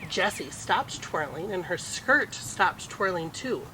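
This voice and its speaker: An expressive picture book reader with a mid-pitched voice. The narration is animated and theatrical in its swings, over clearly audible noise. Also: slow pace, low-quality recording. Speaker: storytime reader.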